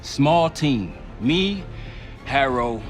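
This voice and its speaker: An actor playing a defiant, laconic covert field operative in a video game, terse and understated. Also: male voice, medium pitch, expressive, very slow. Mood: focused, cool. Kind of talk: cinematic video game acting. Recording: dramatic music behind the voice.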